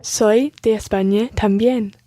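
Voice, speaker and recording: A woman's voice: medium pitch, fairly even intonation, mellow, dry recording. A woman acting as the learner in a podcast lesson, carefully repeating each phrase after her teacher. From Scotland. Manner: careful, measured repetition.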